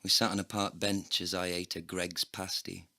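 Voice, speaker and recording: Man acting bitter heartbroken guy narrating his own misery, deep voice with a hard edge, deadpan wordy audio play style. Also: normal speed, creaky, no room sound, studio quality, heavily acted. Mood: bitter, wry. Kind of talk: scripted first-person comedy narration.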